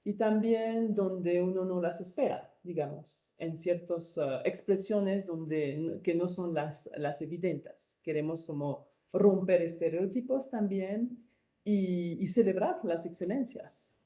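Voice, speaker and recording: A woman from Congo speaks in a lowish, mellow voice, weighing her words and pausing with soft fillers as she answers. The room is roomy and echoey around her. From Democratic Republic of the Congo. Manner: thoughtful, searching for words with pauses and fillers. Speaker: arts project co-founder and director. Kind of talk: conversational interview answers.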